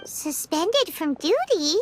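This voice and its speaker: A woman voicing a small, chatty, childlike fairy companion in a video game, chirpy and opinionated, with a squeaky voice. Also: sing-song, slow pace, a little hiss or hum, full character voice. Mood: curious.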